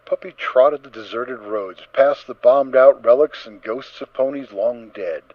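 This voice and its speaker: A man narrating a story as a dramatic reading, in an expressive, theatrical manner. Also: medium pitch, measured pace, dry recording. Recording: slightly dull, dry close recording.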